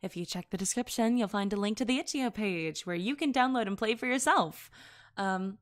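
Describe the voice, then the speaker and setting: A woman's voice: mid-range voice, brisk. A woman streaming casually to her chat, giggling often and correcting herself mid-sentence. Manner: giggly, chatty, laughs often between sentences. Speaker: VTuber streamer.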